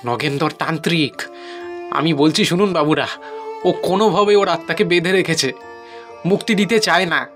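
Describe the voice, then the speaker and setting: An actor plays a bitter village man in a horror audio drama. His high voice is emphatic and pleading, with a plaintive edge and soft music underneath. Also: moderate pace, long pauses, natural voice. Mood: bitter, indignant. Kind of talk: audio horror story acting.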